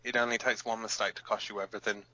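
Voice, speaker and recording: A man playing a gruff, battle-scarred resistance fighter co-hosting an underground radio broadcast in an audio drama, blunt, profane and halting. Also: on the low side, flat delivery, pushed and bright. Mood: grave. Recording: dull lo-fi sound.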